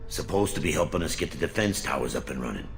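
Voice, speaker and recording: Rattled, swooping-voiced colony mechanic. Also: male voice, very deep voice, lively intonation, fast, gravelly, faint music behind, full character voice. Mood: grudging, suspicious. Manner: stammering, agitated, grumbling. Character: rattled, resentful male colony mechanic, suspicious of authority. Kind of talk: video game drama acting.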